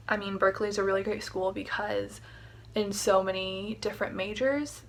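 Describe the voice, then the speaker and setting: A woman's voice: medium pitch, creaky, a bit bright, a little hiss or hum. Thoughtful young woman, mid voice. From Michigan, US. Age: young adult. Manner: reflective, thoughtful, uses fillers. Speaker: interviewee, recent graduate. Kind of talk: casual remote interview.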